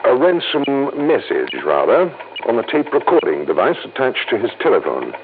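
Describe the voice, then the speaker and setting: Fussy insurance official, animated old-radio voice. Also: male voice, medium pitch, normal speed, phone-quality sound. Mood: grave, insistent. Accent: American. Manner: formal, precise, hesitant. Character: fussy, anxious male insurance company official. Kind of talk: 1950s American radio drama acting. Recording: thin, hissy old radio recording.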